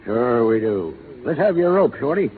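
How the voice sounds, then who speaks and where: An actor playing an eager cowhand in a posse, talking in brisk, rough cowboy speech. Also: male voice, mid-range voice, big pitch swings, close to an everyday voice. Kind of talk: 1930s radio acting. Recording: echoey, tinny 1930s radio broadcast.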